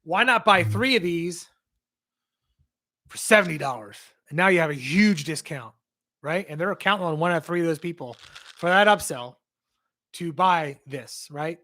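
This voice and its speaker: Hoarse, rambling livestream host, man. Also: on the high side, fairly bright tone. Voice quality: strained, hoarse. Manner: rambling, conversational, reads out and answers chat questions. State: losing his voice. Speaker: livestream host answering viewer questions. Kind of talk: casual livestream Q&A.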